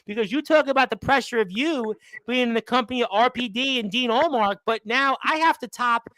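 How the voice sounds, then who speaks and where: High-pitched, bright male radio show host. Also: fairly quick, no room sound, low-quality recording. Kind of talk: wrestling talk show interview.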